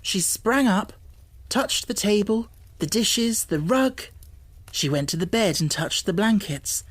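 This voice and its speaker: A woman reading an audiobook as narrator, with deliberate phrasing and expressive, dramatic emphasis. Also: medium pitch, no echo. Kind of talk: dramatic scripted storybook narration. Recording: music bed under the voice. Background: music.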